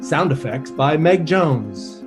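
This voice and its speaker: A man's voice: on the high side, animated, slow. A man as announcer, reading scripted radio drama narration and credits with guitar music behind him.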